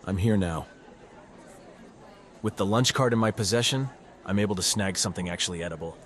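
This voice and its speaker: Man voicing a low-voiced sardonic teenage slacker. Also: brisk, some chatter behind, natural voice. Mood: wry, self-indulgent. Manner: sardonic, muttering asides. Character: cynical, self-deprecating male high school student narrator. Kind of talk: first-person scripted narration, visual novel dub.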